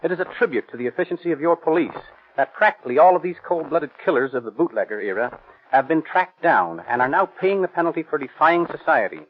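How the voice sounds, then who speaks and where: A man's voice: on the high side, animated, fast talker. An American man speaking as a police captain, giving a stern, stiffly read formal radio address. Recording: muffled noisy 1930s radio recording.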